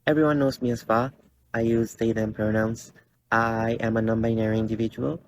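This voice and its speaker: Young adult man, from Costa Rica, earnest and halting, level tone, large indoor theater. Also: medium pitch, little pitch movement, a little hiss or hum. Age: 20s. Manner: earnest, halting, many fillers and restarts. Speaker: college student. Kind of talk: personal video statement.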